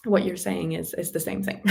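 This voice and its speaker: Low gravelly woman giving tips. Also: fairly even intonation, a little slow. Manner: explanatory, with small laughs between points. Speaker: guest, communication specialist. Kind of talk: casual interview chat giving practical tips.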